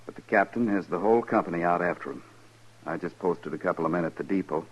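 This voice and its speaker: An actor playing a dutiful, courteous cavalry lieutenant, formal and military, in a 1950s radio western. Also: male voice, deep voice, fast talker, slightly rough. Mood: grave, businesslike. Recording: dull lo-fi old radio broadcast.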